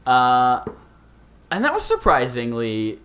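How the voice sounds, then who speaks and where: Young adult man, podcast host, casual gaming talk, medium-pitched voice. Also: wildly swooping pitch, slow pace, a bit bright. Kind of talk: casual chat about video games.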